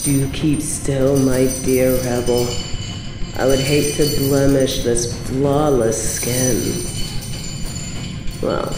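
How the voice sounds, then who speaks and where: A woman's voice: extremely low pitch, little pitch movement, extremely slow, long pauses, roomy sound, music in the background, exaggerated voice, poor audio. A woman playing an evil, possessive queen in an audio drama, theatrical and menacing with mock tenderness. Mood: sultry, threatening. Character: evil obsessive possessive queen, cruel, gloating, sadistic. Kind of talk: scripted audio roleplay drama, addressed to the listener.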